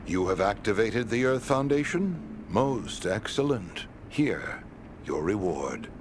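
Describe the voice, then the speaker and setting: Low-voiced, dignified old quest-giver. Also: male voice, big pitch swings. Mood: approving, formal. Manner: formal, deliberate. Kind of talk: video game character acting. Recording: clearly noisy game capture.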